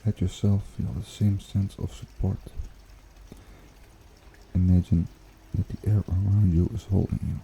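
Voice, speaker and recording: A man hosting an ASMR guided meditation in a hushed, unhurried, soothing way with gentle pauses. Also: low voice, level tone, vocal fry, a little hiss or hum, low-quality recording. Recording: water trickling behind the voice. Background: pond water bubbling and trickling.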